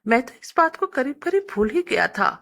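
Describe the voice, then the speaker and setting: Woman narrating with lively, swinging pitch. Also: fairly quick, gravelly. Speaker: story narrator. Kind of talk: scripted first-person story narration with acted dialogue.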